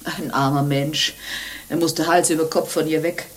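Actress as a solemn, scornful nun. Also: female voice, sits low, fairly even intonation, moderate pace, natural voice. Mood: stern, scornful. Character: pious, world-weary, indignant nun. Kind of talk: German radio play acting.

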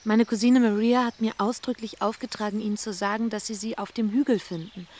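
An actress playing a dutiful, quietly anxious young woman, polite and restrained, in a German radio play. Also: medium pitch, steady tone, fairly quick, close to an everyday voice. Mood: polite, apologetic.

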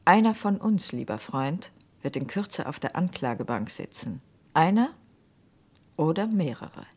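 A woman's voice: sits low, very animated, measured pace, a little mellow, breathy edge, heavily acted. A cool, suspicious widow in a radio crime play. She probes with sardonic, pointed phrasing, as though she believes nothing she is told. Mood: ominous, pointed. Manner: sardonic, probing. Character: cool, suspicious wife of a murdered man. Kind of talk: German radio crime drama acting.

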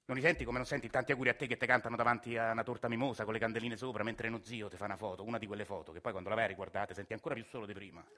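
A man's voice: fairly low pitch, steady tone, rapid-fire, hardly any pauses, pushed and bright. An actor playing a lonely, bitter working-class man with a Roman accent, delivering a theatre monologue that swings from muttering to sarcastic outbursts. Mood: despairing. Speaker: stage actor. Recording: live stage recording over guitar. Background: guitar music.

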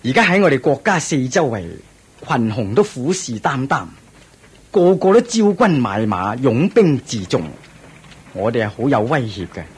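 Casting: male actor as proud middle-aged king, very raspy, swooping delivery, Hong Kong Cantonese, theatrical radio drama. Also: medium pitch, normal speed. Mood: concerned, ambitious. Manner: theatrical, regal, expressive. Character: proud, doting ancient Indian king, anxious about his heir.

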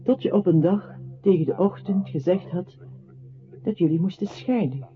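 An actor playing a grave narrator in a radio drama, telling of a lonely man's downfall in measured, literary phrases with a husky voice. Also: sits high, a crowd in the background, lightly acted. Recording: muffled phone-like old radio recording.

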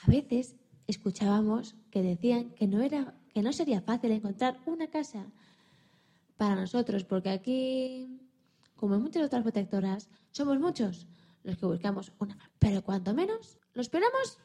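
A high, mellow voice tells a children's radio tale as a timid young dog played by a woman. He is tender and hopeful, lingering on phrases with expressive, halting storytelling. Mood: tender, earnest. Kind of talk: children's story radio play, first-person narration.

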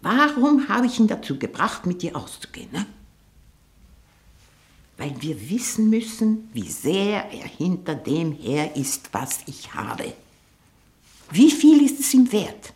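A woman playing a domineering, scheming, sickly old aunt in a 1960s radio play, with a breathy voice that often drops into a whisper. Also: fairly low pitch, big pitch swings, slow, darkish tone, full character voice. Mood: scolding, impatient.

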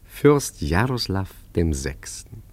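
An actor playing a sly, obliging art forger in a radio play, urbane, deferential and wryly understated. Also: male voice, sits low, very animated, takes it slowly, darkish tone, full character voice. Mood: wry narrating. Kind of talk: German radio play acting and narration.

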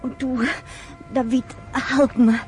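A woman's voice: high voice, level tone, slow pace, darkish tone. An actress in a radio play voicing an earnest woman who pleads to understand, imploring in her phrasing. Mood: earnest pleading. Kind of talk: radio drama acting. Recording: slightly noisy old radio recording.

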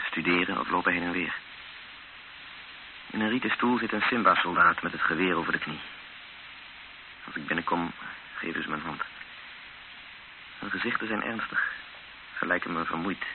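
An actor playing a bitter, skeptical man held hostage in a Dutch-language radio drama, formal and challenging. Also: sits low. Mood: somber narrating. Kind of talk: radio drama acting and first-person narration. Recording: hissy, narrow-band old radio recording.

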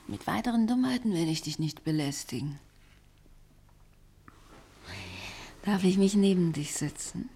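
Lonely needy ex-partner, radio play, lowish creaky voice, breathy, intimate, fussing. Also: female voice, a little mellow. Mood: soft, coaxing. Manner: intimate, fussing, emotionally volatile. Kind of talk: German radio play acting.

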